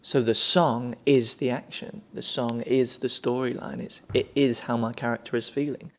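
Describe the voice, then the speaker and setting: A man's voice: mid-range voice, little pitch movement, normal speed. A man who acts in pantomime, talking casually in an interview about his work. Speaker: pantomime actor.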